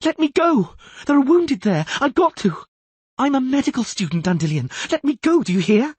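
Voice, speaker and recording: A male narrator voicing a hysterical young female medical student who screams to be let go, in a husky voice recorded dry. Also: extremely high pitch, very animated, normal speed, no echo, voice pushed up, full character voice.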